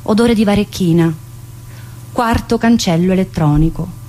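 A woman's voice: mid-range voice, moderate pace, background noise. A woman, an actress, reciting a theatrical monologue, in short clipped fragments. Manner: clipped, listing sensory fragments.

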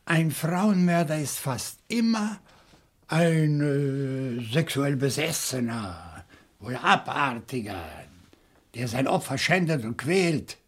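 Husky, deliberate professor sleuth. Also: male voice, mid-range voice, expressive. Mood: explaining, insistent. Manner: deliberate, theatrical, reasoning step by step. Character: shrewd, indignant male professor turned amateur sleuth. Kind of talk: German radio crime play acting.

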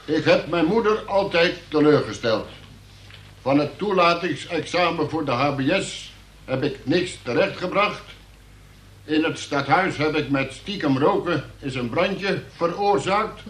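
An actor playing a dutiful police sergeant who formally and haltingly reads out a report in a radio play. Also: male voice, fairly high pitch, animated, fairly bright tone. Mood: officious. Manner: formal, officious, halting delivery of official report. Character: dutiful police sergeant reading out a suspect's confession. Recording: roomy, echoing radio play recording.

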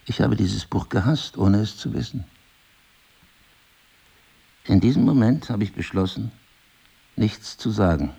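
An actor playing a married crime novelist in an intimate drama, husky and articulate, probing, cynical and wounded, with deliberate literary phrasing. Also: male voice, fairly low pitch, mellow, full character voice. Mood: reflective, confiding. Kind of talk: intimate two-person stage drama dialogue.

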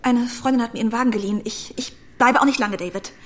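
A woman's voice: fairly high pitch, fairly even intonation, fast, lightly acted. An actress in a German radio drama playing an anxious, devoted young woman in love, hesitant and pleading yet persistent. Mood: nervous, hesitant.

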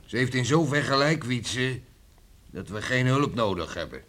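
An actor in a Dutch radio play playing a stern, quick-tempered middle-aged village father with a gruff, halting manner. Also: mid-range voice, animated, fairly bright tone, close to an everyday voice. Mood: disapproving, dour. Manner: gruff, halting, repeats himself.

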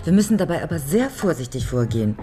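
A woman's voice: low voice, very animated, fast, warm tone. An actress playing a careful, methodical middle-aged woman who explains things drily, in a German radio crime drama. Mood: measured, explanatory. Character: careful, methodical lawyer woman, amateur sleuth friend.

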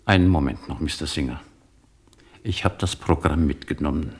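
An actor in a German radio play, playing a talkative, sentimental immigrant shopkeeper who tells things with insistent emphasis and a gravelly voice. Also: male voice, very deep voice. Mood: earnest, urging. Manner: effusive, insistent storytelling with emphatic pauses.

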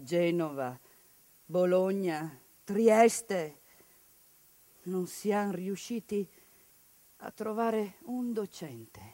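Actress, theatre monologue, impassioned, mid-pitched, dry and close. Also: female voice, no room sound. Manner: impassioned, rhetorical lists, shifts to hushed bitterness. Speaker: stage actress.

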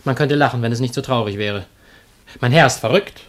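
Male actor as insolent Athenian slave, sardonic, blunt, medium-pitched, stagey radio comedy delivery. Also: brisk, close to an everyday voice. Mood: sardonic complaint. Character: cheeky, grumbling slave servant of ancient Athens. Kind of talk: theatrical German radio comedy acting.

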